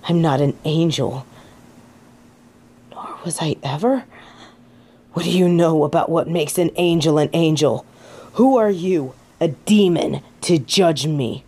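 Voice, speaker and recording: Woman acting a haughty fallen angel, low and measured. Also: warm tone, slightly rough, full character voice. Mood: outraged. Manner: pompous, formal archaic phrasing, easily affronted. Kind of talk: scripted audio roleplay acting.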